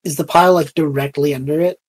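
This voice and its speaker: A woman playing a tabletop roleplaying game, speaking casually and haltingly in character. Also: deep voice, fairly even intonation, a little mellow.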